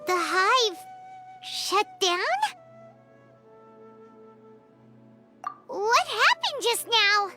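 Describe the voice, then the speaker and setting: A woman voicing a tiny, plucky, childlike floating companion in a video game, with a squeaky voice. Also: very animated, slow, over music, full character voice. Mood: bewildered.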